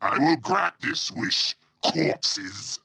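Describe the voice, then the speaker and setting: A man voicing a brutal, boastful monster warlord in a video game, with a gravelly growl and menacing, contemptuous delivery. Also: mid-range voice, very animated, pushed and bright, no echo, clean studio sound, full character voice. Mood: contemptuous threat. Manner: menacing, contemptuous, slow, drawn-out threats. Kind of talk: video game villain voice acting.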